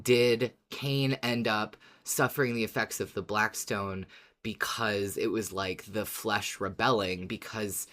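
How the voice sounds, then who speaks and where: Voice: mid-range voice, fairly even intonation, measured pace, fairly bright tone. A podcast host talks through fan theories in a casual way. The delivery is informal and agreeable, like chatting with a co-host.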